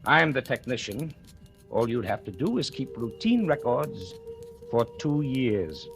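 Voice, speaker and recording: A wealthy, smug sugar planter who taunts with sardonic relish. His mid-pitched voice moves up and down in a lively, businesslike way. It is 1940s radio acting on an old recording with faint music. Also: a little slow, creaky. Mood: challenging.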